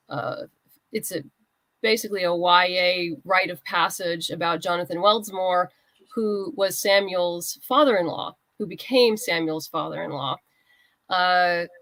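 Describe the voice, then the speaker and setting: A woman with a lowish voice talks as a guest in an interview. She explains her writing in a conversational way. The sound is dry and free of room echo. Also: a bit bright. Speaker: guest writer being interviewed. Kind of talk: conversational interview answers about own work.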